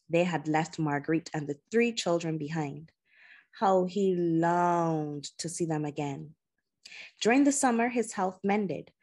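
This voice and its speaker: A woman narrating a children's novel in audiobook style, with measured, deliberate phrasing and pauses. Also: fairly low pitch, a little mellow, slightly rough.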